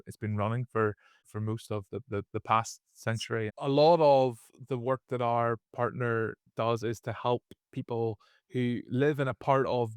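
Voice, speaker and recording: A man's voice: medium pitch, smooth, no echo, studio quality. A man with a Northern Irish accent co-hosting a casual podcast, explaining topics with frequent small restarts. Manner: explanatory, repeats words when restarting. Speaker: podcast co-host.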